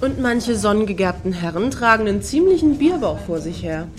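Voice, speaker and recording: An actress playing a wry woman in a friend group in a satirical radio play. Her voice sits at a medium pitch, and she comments in a knowing, opinionated way. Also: sing-song, a little fast, lightly acted. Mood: wry, gossipy.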